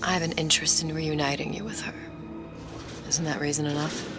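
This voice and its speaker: An actress playing a cool, enigmatic young femme fatale in a noir video game, speaking in a controlled, guarded, clipped way. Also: female voice, deep voice, steady tone, brisk, natural voice. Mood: cool, determined. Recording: music behind the dialogue.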